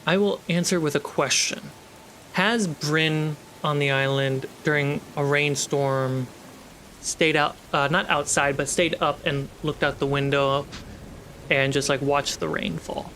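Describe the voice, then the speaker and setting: Game master over faint background ambience. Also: male voice, fairly high pitch, steady tone, unhurried, sharp tone, a little hiss or hum, rough recording. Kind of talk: narrating a tabletop role-playing game.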